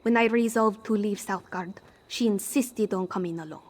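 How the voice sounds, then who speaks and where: A woman's voice: medium pitch, normal speed, lightly acted. A woman playing a wry, proud cat-folk smuggler with a theatrical, sardonic manner in scripted fantasy game dialogue. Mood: wry, resigned. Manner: theatrical, sardonic, speaks of herself in third person.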